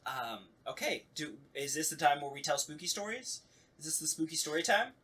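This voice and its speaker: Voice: medium pitch, very animated, a little fast, fairly bright tone, a little hiss or hum. A podcast co-host chatting casually, with a joking, mock-serious way of talking.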